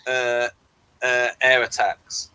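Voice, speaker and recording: A man's voice: mid-range voice, monotone, takes it slowly, sharp tone, clear, resonant tone, poor audio. A man in casual, opinionated discussion, blunt and emphatic, often repeating and restarting his phrases. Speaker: podcast reviewer.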